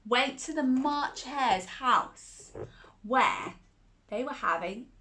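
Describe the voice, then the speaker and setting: A woman's voice: sits high, animated, slow pace, bright, forceful tone. A woman reading a children's picture book aloud, chuckling often and asking the listener questions. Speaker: children's storyteller.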